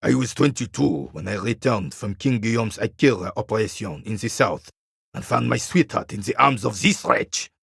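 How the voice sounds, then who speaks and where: A proud old war veteran from a video game, with a heavily creaking, husky voice that swings widely in pitch. He speaks like a formal old soldier, haughty and embittered, grumbling at those beneath him. The sound is dry and close. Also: a bit bright, dry recording, heavily acted. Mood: wistful, halting. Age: older. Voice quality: gravelly, raspy, husky. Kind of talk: dramatic video game character acting.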